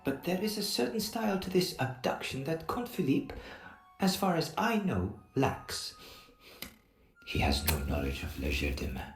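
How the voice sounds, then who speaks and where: A man playing a grave, secretive Persian stranger in an audio drama, speaking formally and deliberately in a hushed voice that often drops to whispers. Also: fairly high pitch, big pitch swings, creaky. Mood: secretive, wary. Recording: echoey, roomy sound with music.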